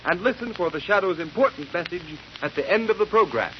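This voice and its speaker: Lively old-time radio announcer man. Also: high-pitched, fast talker. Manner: formal, emphatic, declamatory. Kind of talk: 1930s radio advertisement announcing. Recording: muffled old radio recording.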